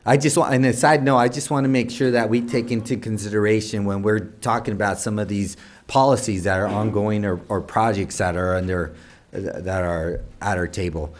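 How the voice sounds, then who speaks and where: Lower-voiced man chairing a council meeting. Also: fairly even intonation, normal speed. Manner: rambling, many restarts, lists items. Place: council chamber.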